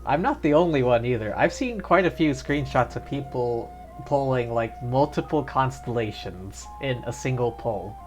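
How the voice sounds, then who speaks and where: A man's voice: mid-range voice, lively intonation, moderate pace. A man chatting casually as he gives live commentary on a video game stream. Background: game music.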